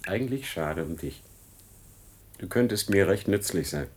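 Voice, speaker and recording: An actor playing a cynical, gloating murderous scientist with a mocking, taunting delivery in a German radio play. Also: male voice, sits low, lively intonation, normal speed, breathy edge.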